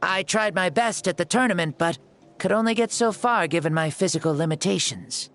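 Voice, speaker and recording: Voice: medium pitch, brisk, a bit bright, soft background music, close to an everyday voice. A performer voicing an ancient, playful lord in a young boy's body for an anime game, breezy, theatrical and self-assured.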